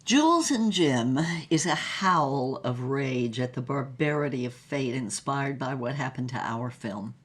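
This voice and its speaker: A woman's voice: extremely low pitch, animated, unhurried, hardly any pauses, exaggerated voice. An older woman playing an elderly romantic in an audio drama, wistfully and theatrically recalling a lost love. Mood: mournful, tender. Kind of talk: audio drama memoir monologue. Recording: music under the voice.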